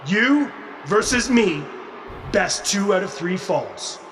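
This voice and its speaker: A man playing a smug, condescending veteran wrestling champion in a video game, with pompous, measured, theatrical speech. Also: high-pitched, animated. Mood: grandiose. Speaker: professional wrestler. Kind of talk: in-ring wrestling promo. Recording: distant mic with clear noise.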